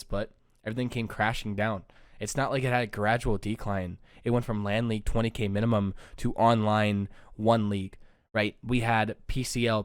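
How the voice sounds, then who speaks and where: Young male vlogger, low voice, smooth and clear, flat and downcast delivery, dry close mic. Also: dry recording. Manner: subdued, low-energy, self-described monotone. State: sad, downcast. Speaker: YouTuber and pro gamer. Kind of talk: casual vlog talk to camera.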